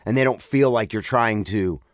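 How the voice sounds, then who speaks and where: A man's voice: on the low side, moderate pace, no echo, studio quality. A man in a casual podcast chat, speaking bluntly and informally with quoted asides. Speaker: podcast host or co-host.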